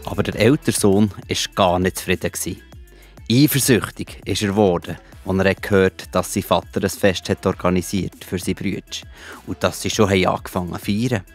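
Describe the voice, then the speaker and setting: A man's voice: low-pitched, steady tone, normal speed, faint music behind. A man with a Swiss German accent narrating a scripted Bible story for children.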